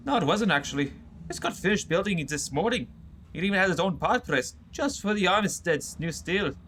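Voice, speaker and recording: A man's voice: high-pitched, animated, fairly quick, a bit bright, a little hiss or hum. A man playing a timid, anxious scientist in an amateur audio drama, with a hesitant, fretful manner. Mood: helpful, hesitant.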